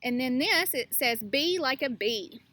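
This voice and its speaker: A woman teacher reading a picture book aloud to young children, pausing to explain things and ask them questions. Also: sits high, lively intonation, pushed and bright, slight background noise.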